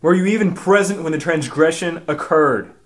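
An actor playing a stern, suspicious detective in a comic radio drama, insistent and pompously formal. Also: male voice, a little high, normal speed, close to an everyday voice. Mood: interrogating. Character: stern, suspicious male detective grilling small children.

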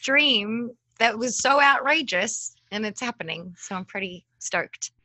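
A woman in her thirties, a TV host and actress, chatting as a podcast guest over a video call with a desk mic, bubbly and quick to laugh. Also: fairly high pitch, expressive, bright, forceful tone, no room sound, rough recording. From Australia. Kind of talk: casual podcast interview. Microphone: desk condenser mic, video call.

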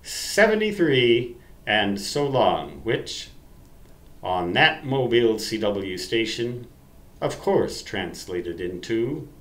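An American man in his sixties rambling through memories to the camera in a folksy, halting, self-deprecating way, recorded on a webcam mic in a room at home. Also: mid-range voice, big pitch swings, a bit bright, reverberant. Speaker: amateur radio vlogger.

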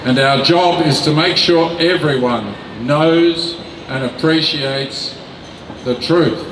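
An older man reads a prepared rally speech at a very slow, deliberate pace, stressing key words. His voice sits slightly high. The sound echoes across an open city square, picked up from a distance with faint noise behind. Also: a bit bright. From Australia. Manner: slow, deliberate, emphatic reading from notes. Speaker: rally speaker. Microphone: mic on a stand.

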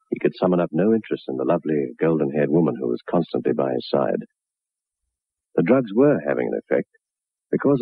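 An actor as a sardonic storyteller of macabre tales, narrating a vintage radio horror drama in a measured, suspenseful manner. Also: male voice, low-pitched, husky, no room sound, exaggerated voice. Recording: narrow-band vintage 1960s radio recording.

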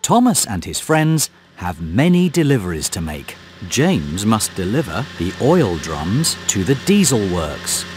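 Male narrator for a kids' game: slow, very swooping delivery, encouraging, scripted, with music under the voice. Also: on the low side, sing-song. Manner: encouraging, clearly enunciated storytelling.

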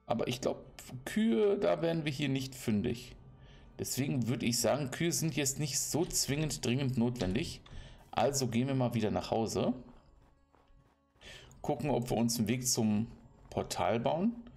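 A man's voice: medium pitch, expressive, normal speed, creaky, a little mellow, soft background music. A German man giving casual, playful commentary while playing a game, chatting to the animals and villagers he meets. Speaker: let's play commentator.

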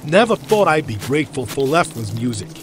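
A man's voice: medium pitch, big pitch swings, a little fast, over music, lightly acted. A man playing a skeptical, complaining boy in an acted fantasy audiobook. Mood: grumbling. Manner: complaining, scoffing. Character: grumbling, skeptical, hungry boy, the hero's brother.

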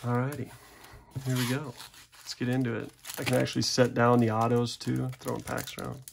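Gravelly-voiced young man chatting casually. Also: mid-range voice, flat delivery, fairly quick, background noise. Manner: chatty, rambling, self-deprecating asides. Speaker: card-opening channel host. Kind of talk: casual pack-opening commentary. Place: indoors at a desk.

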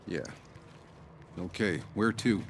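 A man's voice: fairly low pitch, slow, slight background noise. A man playing a determined, plain-spoken soldier hero in a game cutscene, casual and direct. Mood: curious, businesslike. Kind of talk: video game cutscene acting. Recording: music under the voice.

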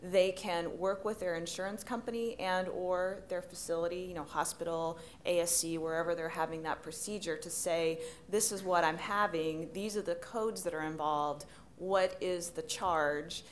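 A woman's voice: lowish voice, steady tone, normal speed, slight background noise. A woman panelist explaining practical steps in a conference discussion at a table microphone. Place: conference stage.

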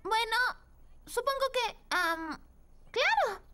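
An actress playing a sweet, curious, friendly little girl in a children's cartoon dub, speaking in an expressive, exclamatory way. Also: very high-pitched, slow, slight background noise, heavily acted. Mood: puzzled. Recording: music bed under the dialogue.

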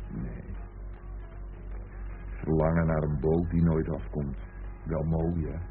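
Radio drama: dreamy teasing idler, slow and rambling, low-pitched, philosophizing in circles, thin noisy vintage sound. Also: male voice. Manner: rambling, playful, philosophizing, repeats phrases. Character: dreamy, teasing idler musing about an unfinished boat. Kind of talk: Dutch radio play acting. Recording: muffled phone-like old radio recording with noise.

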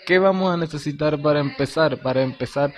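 A man's voice: fairly high pitch, fairly quick. A man, talking viewers through a game on screen in an informal, slangy way. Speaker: crypto game tutorial host. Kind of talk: screen-recorded tutorial narration. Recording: dull lo-fi voice with faint hiss.

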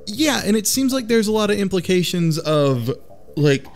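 A man's voice: sits high, normal speed, soft background music. A man in a casual group chat, recapping a story in a rambling way and acting out the dialogue as he goes. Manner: rambling, animated retelling with acted-out quotes. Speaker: podcast panelist.

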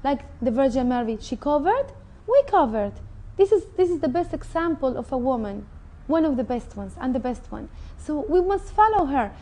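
A woman's voice: high voice, very animated, moderate pace, warm tone. A middle-aged woman from Mexico with a Mexican Spanish accent, an interview guest speaking earnestly and with feeling about her own story, recorded on a lapel mic in a TV studio. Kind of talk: personal testimony. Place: indoor TV studio set.

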